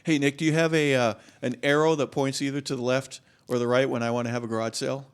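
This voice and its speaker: A man's voice: medium pitch, level tone, fast talker, clean tone. A man co-hosting a radio interview, who asks questions in a joking, teasing way. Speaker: radio co-host.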